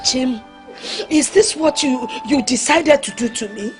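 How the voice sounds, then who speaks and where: A woman's voice: sits high, unhurried, soft background music. An actress with a Nigerian accent playing an older mother crying and wailing over her dead daughter. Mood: sobbing. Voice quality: wailing, sobbing. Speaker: grieving mother. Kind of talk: Nollywood drama acting.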